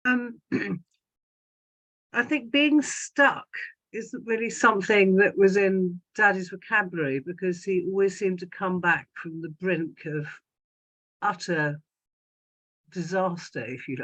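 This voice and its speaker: Measured, reflective woman. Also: on the low side, a bit bright, muffled recording. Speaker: panel guest. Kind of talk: reflective personal recollection.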